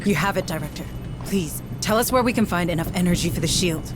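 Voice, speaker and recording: A woman playing a calm, pragmatic deputy administrator in a video game, composed and diplomatic. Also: fairly low pitch, fast, loud music behind the voice, natural voice. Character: level-headed female deputy administrator, calm, pragmatic peacemaker. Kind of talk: video game cutscene acting.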